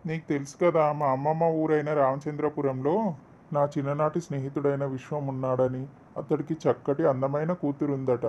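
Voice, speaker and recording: Man acting wry middle-aged husband, slightly high-pitched. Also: flat delivery, moderate pace, a little mellow, lightly acted. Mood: persuasive. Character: middle-aged husband and father, reasonable, teasing traditionalist. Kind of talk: cartoon moral story voice acting. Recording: dull lo-fi, slightly noisy track.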